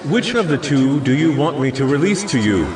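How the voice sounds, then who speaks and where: A man's voice: mid-range voice, big pitch swings, moderate pace, lightly acted. A man acting a Roman governor addressing a crowd in an audio Bible dramatization. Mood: questioning. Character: Roman governor questioning a hostile crowd, exasperated. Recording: distant, echoey, music underneath.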